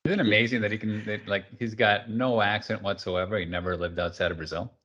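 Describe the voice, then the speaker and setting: Peru-born male guest, low voice, lively and emphatic delivery. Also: fast talker, dull audio. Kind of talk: interview guest on a video call.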